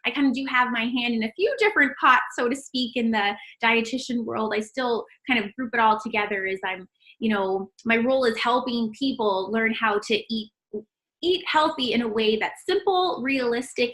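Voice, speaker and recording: Bright-voiced cheerful woman on a call. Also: fairly high pitch, moderate pace, poor audio. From Canada. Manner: cheerful, laughs often. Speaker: registered dietitian guest. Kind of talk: casual interview over a call.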